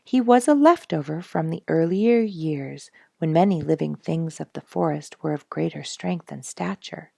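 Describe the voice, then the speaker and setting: A woman reading a nature storybook aloud in an expressive, measured, dramatic storytelling manner. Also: deep voice, creaky, slightly rough, no room sound, studio quality. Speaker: audiobook reader and show host.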